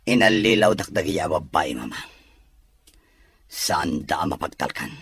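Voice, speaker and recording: A Filipino man playing a wealthy, protective young expectant father in an audio drama, with a raspy voice. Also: low-pitched, moderate pace, a bit bright, dry recording, studio quality, full character voice. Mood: earnest, defensive.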